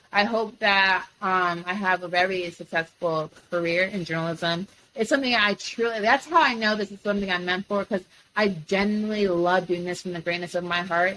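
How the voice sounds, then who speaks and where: Bright earnest young woman. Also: mid-range voice, a little hiss or hum, poor audio. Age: 20s. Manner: earnest, slangy, emphatic. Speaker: guest, track athlete and sports reporter. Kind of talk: casual podcast interview.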